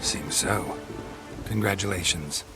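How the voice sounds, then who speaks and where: An actor playing a stoic, terse monster hunter for hire in a video game, with a deadpan delivery. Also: male voice, deep voice, slow, music in the background. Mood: dry. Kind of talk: video game cutscene acting.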